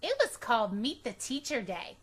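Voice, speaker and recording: A woman reading a children's book aloud as a storytime reader, expressive and deliberate, telling it in a childlike first person. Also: fairly high pitch, slightly rough.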